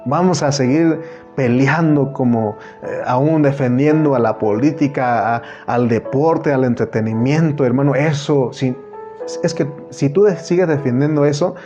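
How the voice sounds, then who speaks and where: A man's voice: mid-range voice, unhurried, highs cut off, over music. A man with a Mexican accent preaching a sermon, earnest and repetitive, often calling the listener brother.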